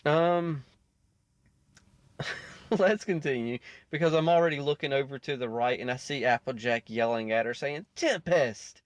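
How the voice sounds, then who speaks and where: A man's voice: mid-range voice, unhurried, no echo. A man giving casual, rambling reaction commentary as host, often chuckling between asides.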